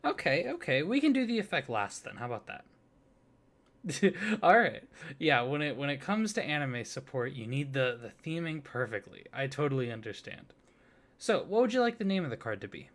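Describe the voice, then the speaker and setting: A soft-spoken man doing an ASMR roleplay, playing a polite office clerk who talks the listener through a form. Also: medium pitch, sing-song, moderate pace. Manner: soft-spoken, polite customer-service patter.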